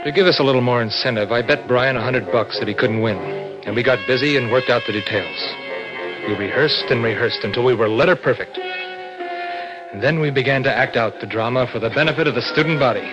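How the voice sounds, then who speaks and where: Male actor, young American law student, cocky schemer, old-time radio narration and dialogue, dull lo-fi sound, clear music bed. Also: mid-range voice, fairly quick, close to an everyday voice. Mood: confiding narration.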